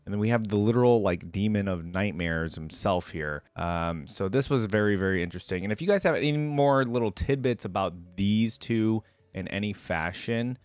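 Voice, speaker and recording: A woman's voice: very deep voice, animated, normal speed, hardly any pauses, darkish tone, no echo. A woman hosting a casual reaction video, chatty and musing aloud into a close mic.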